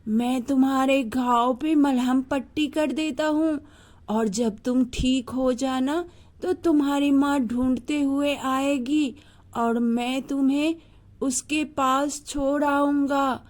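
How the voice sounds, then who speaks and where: A woman's voice: sits high, steady tone, takes it slowly, darkish tone. A woman voicing a male uncle snake in a children's story, a hungry predator with a kindly, protective side and an avuncular manner. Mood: reassuring.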